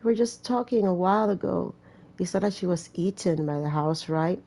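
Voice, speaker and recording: A woman's voice: on the low side, brisk, warm tone. A woman doing casual gameplay commentary, reading a story aloud with chatty, joking asides. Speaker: gamer playing and reading aloud a horror visual novel.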